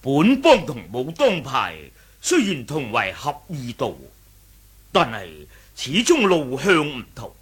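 An actor playing a stern, zealous senior elder of a martial sect in a Cantonese radio drama, formal and declamatory. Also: male voice, mid-range voice, wildly swooping pitch, slow, fairly bright tone, husky, heavily acted. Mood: stern, probing.

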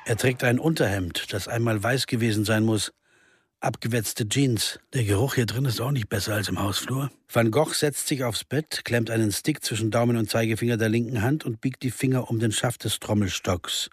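An actor playing a sober, observant pianist sleuth, telling a radio crime story in an understated, deliberate way. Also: male voice, mid-range voice, breathy edge, no room sound, clean studio sound, lightly acted. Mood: brooding, observant. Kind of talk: first-person radio crime narration and dialogue.

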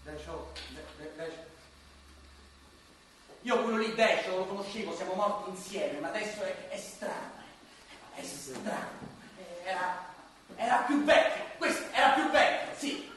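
A high-voiced, befuddled and stubborn man in a comic radio play. He halts and repeats himself insistently. The sound is distant and heavily echoing, with faint noise. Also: expressive, fairly bright tone. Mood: puzzled, halting. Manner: halting, repeats words insistently. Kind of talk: comic radio stage drama. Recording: very echoey, distant, faintly noisy hall.

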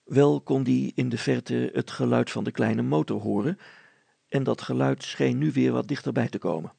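A man reading a story aloud as an expressive narrator. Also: mid-range voice, moderate pace, creaky, slightly rough, dry recording.

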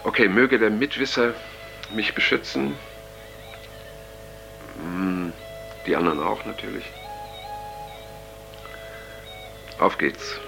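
An actor playing an earnest, uneasy young counterculture man, speaking a halting, casual taped diary in a radio drama, with many pauses. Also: on the low side, expressive, vocal fry, over music, poor audio. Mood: uneasy, musing. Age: young adult. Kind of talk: radio drama acting, improvised-sounding monologue.

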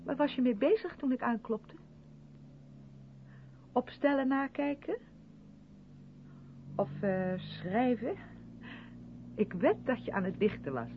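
A gushing, over-polite woman in a radio drama, quick to defend herself and faintly condescending. Her voice swings lively up and down. It sounds thin like a phone line, with a loud engine hum behind it. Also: a little high. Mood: eager, apologetic. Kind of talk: radio play dialogue in a moving car.

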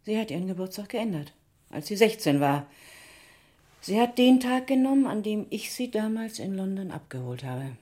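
An actress playing a practical, strict but caring middle-aged foster mother in a German radio drama, brisk and admonishing. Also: mid-range voice, big pitch swings, warm tone, close to an everyday voice. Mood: subdued. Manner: brisk, matter-of-fact, admonishing.

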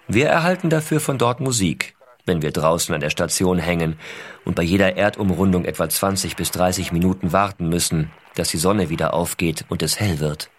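An actor playing an American astronaut father, reading his letters in a measured, intimate, explanatory way. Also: deep voice, a bit bright. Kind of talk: scripted first-person letter narration.

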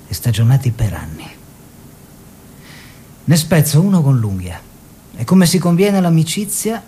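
A man's voice: mid-range voice, warm tone, a little hiss or hum. An actor playing a genial aristocratic painter husband in an Italian radio drama, telling stories theatrically, mimicking dialogue and drawing out his words. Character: Nino, genial aristocratic bohemian painter husband, fond raconteur. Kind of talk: Italian stage-style radio drama, narrated recollection.

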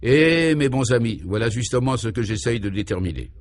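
A man voicing a troubled governor in a radio serial, grave and anxious, thinking aloud in halting, solemn phrases. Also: medium pitch, expressive, dry recording, close to an everyday voice. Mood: pensive, searching.

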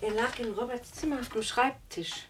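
A woman's voice: fairly high pitch, normal speed, natural voice. Actress as a curious woman, noisy background. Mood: practical. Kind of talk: German radio drama acting.

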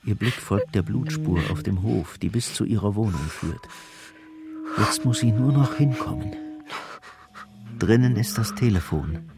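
A man narrating a scripted crime drama in a measured, sober manner. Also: lowish voice, vocal fry. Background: music.

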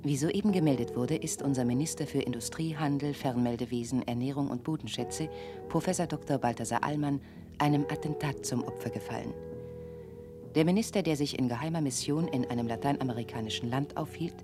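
A woman's voice: very deep voice, brisk, music in the background. A woman playing an official state news announcer, reading a formal, impersonal bulletin in a radio drama. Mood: formal, grave.

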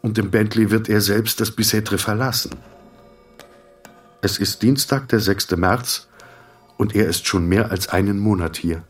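A man narrating a radio drama in a sober, measured, detached manner, in short sentences. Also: fairly low pitch, fairly even intonation, distant mic, lightly acted. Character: sober, detached male storyteller of a hospital tale.

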